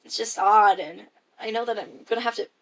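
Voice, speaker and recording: Teen female, high school age, with noticeable vocal fry, for a reflective personal audio log; casual, hesitant delivery. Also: fairly quick, poor audio. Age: teenager, high school freshman. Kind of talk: casual spoken audio diary, reflective monologue.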